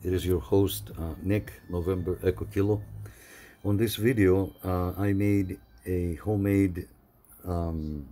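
A man hosting his own channel, casually explaining a homemade radio antenna in an easygoing, unhurried way with many fillers. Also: deep voice. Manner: relaxed, explanatory, frequent "uh" fillers. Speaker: CB radio hobbyist host. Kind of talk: casual how-to narration.

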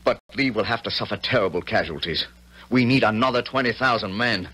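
An actor in a 1970s radio drama playing a dutiful Confederate colonel who speaks formally, bluntly and earnestly. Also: male voice, on the low side, fairly quick, bright, forceful tone, slightly rough. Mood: frank, urgent. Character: dutiful, frank, sober male Confederate army colonel.

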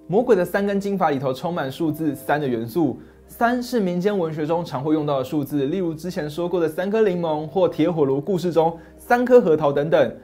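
A man in his thirties narrating a fairy tale and explaining it, steady and expressive. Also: on the high side, brisk, few pauses, soft background music. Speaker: storyteller host. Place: dim study set, indoors. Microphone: lapel mic.

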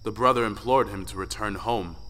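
A man's voice: fairly low pitch, a little fast, a bit bright, lightly acted. A man narrating a folktale audio play as a dramatic storyteller. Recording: long reverb, music underneath.

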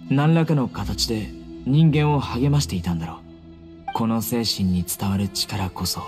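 A man playing a solemn, resolute young guardian warrior in an anime game, speaking in a measured, serious, blunt way. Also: fairly low pitch, a little mellow, natural voice.

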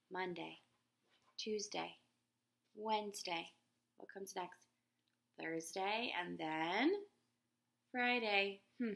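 A woman in her thirties reads a picture book aloud from her couch, her voice gentle and expressive as she pauses to ask the children questions. Her tone carries a slight gravelly edge at mid pitch, and the sound comes from a laptop webcam close by in a living room. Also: slight background noise. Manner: gentle, expressive teacher voice, raises pitch for quoted characters. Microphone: laptop webcam at close range.

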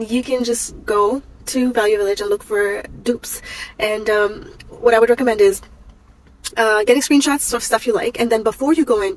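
A woman's voice: a little high, slight background noise, rough recording. Woman, 30s, casual vlogger, under the weather, talks in loose rambling asides. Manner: chatty, rambling with fillers. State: sick, voice strained. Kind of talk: casual thrift vlog. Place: parked car, daytime. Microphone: phone at arm's length.

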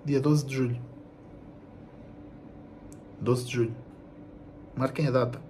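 A young man with a European Portuguese accent making a casual, slangy vlog announcement to camera, blunt and animated, repeating himself for emphasis. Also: mid-range voice, slow pace, slight background noise. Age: young adult. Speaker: video creator and musician. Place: small room indoors at home.

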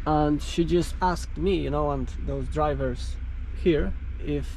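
A young Polish-native man speaks slowly and haltingly, full of fillers, in a slightly high voice. He is outdoors with his truck, and steady traffic and road noise can be heard clearly behind him. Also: rough recording. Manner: unhurried, halting, with many fillers. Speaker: truck driver vlogger. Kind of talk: casual vlog talk to camera. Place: outdoors at truck parking areas.

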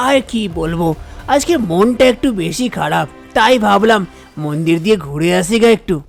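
A weary, worried village father played by a man in a cartoon tale. He complains in a plaintive, folksy way, with a gravelly edge and light music behind him. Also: high-pitched, expressive, normal speed. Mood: worried, downcast. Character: worried, weary father of two idle sons. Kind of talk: Bengali cartoon story dialogue.

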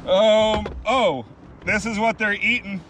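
A man in his thirties fishing from a kayak on open coastal water, narrating casually into a boat-mounted action camera and whooping with excitement. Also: high-pitched, slow pace. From California. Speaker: kayak angler filming himself. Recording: wind and water noise outdoors.

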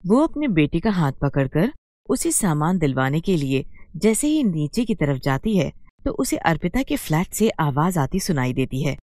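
A woman reading scripted narration for an animated moral story. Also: low-pitched, normal speed, no room sound, clean studio sound.

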